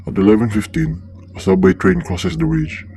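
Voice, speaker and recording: A man's voice: on the low side, lively intonation, moderate pace, dark tone, a little hiss or hum. A man playing a cold-blooded crime boss in a radio play, giving orders in a terse, menacing, businesslike way. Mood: cold, commanding.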